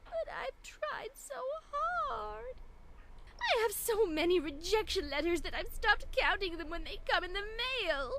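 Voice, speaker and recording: Fragile, despairing young mare for fan audio drama, played by a woman. Extremely high voice, melodramatic pleading, faint noise behind. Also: big pitch swings, a little slow, a bit bright, full character voice, low-quality recording. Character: despairing young female pony dressmaker, anguished and fragile. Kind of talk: dramatic fan fiction reading.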